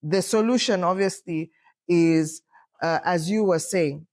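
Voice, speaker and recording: A woman in her fifties with a loud voice, talking in a reflective, assertive way as a podcast host and pausing often on fillers. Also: on the low side. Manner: assertive, unhurried, thoughtful with filler pauses. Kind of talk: reflective conversational talk.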